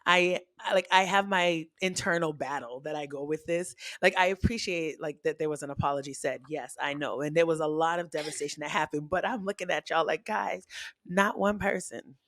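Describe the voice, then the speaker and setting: A man in a casual podcast chat, talking in an animated, expressive and frank way, often restarting his sentences. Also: fairly high pitch, fairly quick, dry recording. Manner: frank and self-teasing. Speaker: guest podcaster.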